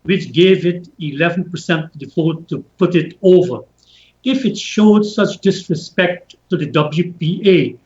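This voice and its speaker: A man on an online panel discussion, asking pointed, skeptical questions. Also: on the high side. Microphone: video call. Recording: echoey room, dull call audio.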